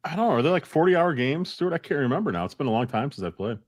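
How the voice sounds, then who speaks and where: A man's voice: fairly high pitch, sing-song, very fast, no room sound, studio quality. A man hosting a casual live-stream chat, talking informally. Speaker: podcast host.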